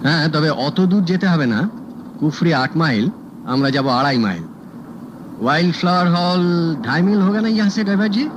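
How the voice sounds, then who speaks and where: An actor playing a sharp, composed private detective who talks crisply and with dry wit, in a vintage radio drama. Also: male voice, fairly high pitch, expressive, fairly quick, highs cut off, background noise, natural voice. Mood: calm. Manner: crisp, confident, dryly witty.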